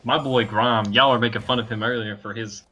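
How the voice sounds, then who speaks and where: Male co-commentator, medium pitch, bright tone, casual conversational game analysis with banter. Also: steady tone, brisk. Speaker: esports co-commentator.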